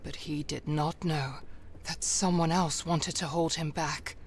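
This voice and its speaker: Slow, measured male game narrator. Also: a little high, steady tone, slight background noise. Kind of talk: scripted storybook narration.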